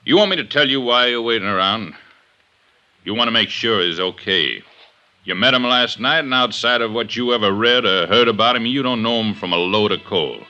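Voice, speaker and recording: An actor playing a gruff, middle-aged, gray-haired police inspector in a 1950s radio drama, blunt and insistent. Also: male voice, fairly low pitch, normal speed, sharp tone. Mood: needling. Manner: blunt, insistent, clipped questioning. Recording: dull vintage 1950s radio recording.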